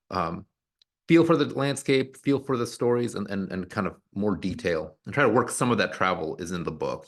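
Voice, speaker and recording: A man's voice: deep voice, a bit bright. A man speaking as an author and historian in a conversational interview, thoughtful, often restarting and repeating words as he searches for the right phrase; Polish was his first language. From United States, grew up in Pennsylvania.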